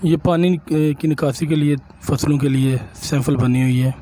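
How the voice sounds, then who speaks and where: A man, a village vlogger from Punjab, calmly explaining sights to viewers while he walks outdoors and films on his phone. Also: a little high, moderate pace, slight background noise, poor audio. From Punjab, Pakistan. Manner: calm, explanatory, addresses viewers directly. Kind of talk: walking tour narration.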